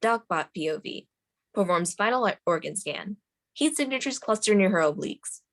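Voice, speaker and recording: A woman's voice: mid-range voice, animated, normal speed, a bit bright. A woman narrator reading screenplay stage directions aloud at a table read.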